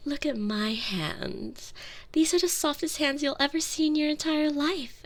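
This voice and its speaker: High-voiced, haughty young princess, acted by a woman. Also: unhurried. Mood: haughty, catty. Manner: theatrical, intimate, slipping into breathy whispers. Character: sheltered, haughty, lonely young princess with a secret crush. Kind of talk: ASMR roleplay, scripted monologue. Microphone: close binaural microphone.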